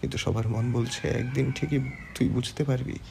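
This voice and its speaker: A man's voice: medium pitch, steady tone, a little fast, gravelly, a little mellow, a little hiss or hum. A man playing a stubborn, intense young man in love in an audio story, speaking earnestly. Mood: tender, sorrowful.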